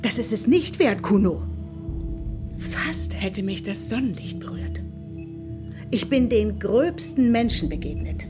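A woman's voice: medium pitch, lively intonation, unhurried, long pauses, lightly acted. A woman playing a prim, haughty mother. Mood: indignant. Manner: prim, indignant, clipped. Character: prim, haughty mother, fussy and machine-devoted. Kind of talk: radio play acting. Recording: music behind.

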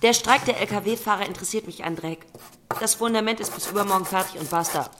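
A woman's voice: medium pitch, a little fast, background noise, lightly acted. An actress playing a female office colleague in a radio drama, by turns sultry and anxious. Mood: scornful. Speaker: actor in a radio play.

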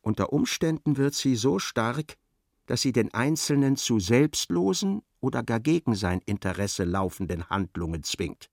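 Gravelly male radio play narrator. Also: medium pitch, sing-song, normal speed, no echo, clean studio sound.

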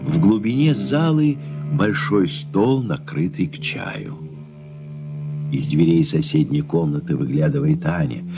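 A man reading stage directions as the narrator of a radio drama, in a measured, scripted manner. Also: extremely low pitch, expressive. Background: cello and string music.

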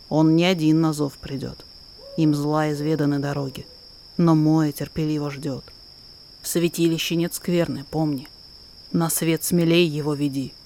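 A woman narrator reading a poetic story aloud in expressive, measured, dramatic verse. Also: low-pitched, a little hiss or hum.

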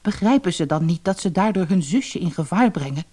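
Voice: medium pitch, expressive, a little fast, dark tone, breathy edge. A storyteller voicing a worried village father in a fairy tale, dramatic and lamenting. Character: anguished, worried village father of seven sons.

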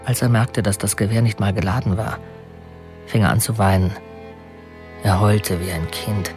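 A young convict full of bitterness, as a man voices him, speaking at a middle pitch in a quiet, brooding way. Faint music sits under this radio drama scene. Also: steady tone, normal speed, lightly acted. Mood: bitter.

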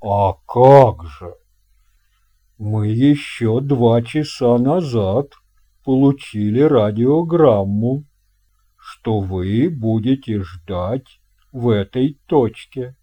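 A man voicing a big, fat, jovial ship's captain in an audio fairy tale, speaking in a grand, courteous, drawn-out way. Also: medium pitch, dark tone. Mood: breezy confidence.

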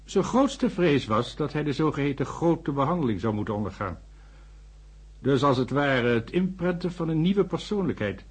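An actor playing an earnest, thoughtful doctor who narrates a science fiction radio drama. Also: male voice, fairly high pitch, a little mellow, husky. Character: male doctor narrating, earnest, sceptical but sympathetic. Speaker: narrator and character.

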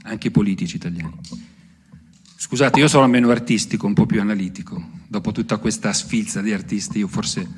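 A man giving an analytical political speech at a live event, deliberate and factual with emphasis on key words. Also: lowish voice, breathy edge. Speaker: speaker at a benefit event for Gaza. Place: live public event, speaking to an audience.

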